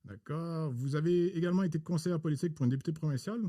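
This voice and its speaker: A man hosting a podcast interview in a formal, halting way with many pauses. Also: fairly high pitch, dark tone, no room sound, clean studio sound.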